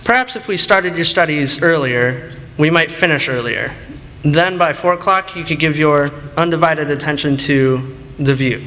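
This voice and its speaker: A young man playing a sensible, mildly stern but kindly tutor in a radio play, measured and reasoning. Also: fairly high pitch, sharp tone. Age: teens or young adult. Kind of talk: student radio play acting. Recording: reverberant hall, clear background noise.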